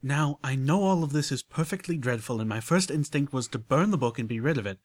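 A man's voice: medium pitch, animated, a little fast, dry recording, voice pushed up, lightly acted. A man voicing an elegant, prim unicorn mare who talks with genteel enthusiasm. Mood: enthusiastic.

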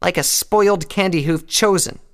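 A man's voice: high voice, moderate pace, fairly bright tone, pitched above the performer's own voice. A man voicing a brash, taunting female pegasus pony with a harsh, scornful, emphatic delivery in a fantasy story. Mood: angry, scolding.

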